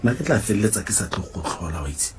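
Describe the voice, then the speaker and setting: A man's voice: deep voice, very animated, very fast, slight background noise. An actor playing an exasperated, pleading husband at odds with his wife in a radio drama. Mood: irritated. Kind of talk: Setswana radio drama acting.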